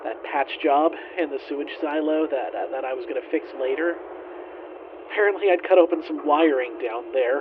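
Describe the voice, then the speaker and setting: A man playing a weary, doomed flight engineer, sardonic and halting, recording a log in a science fiction audio drama with a radio-style effect. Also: a little high, phone-quality sound, background noise. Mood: grim, bitter. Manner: halting, sardonic, swearing, resigned. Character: weary male spaceship flight engineer, doomed lone survivor.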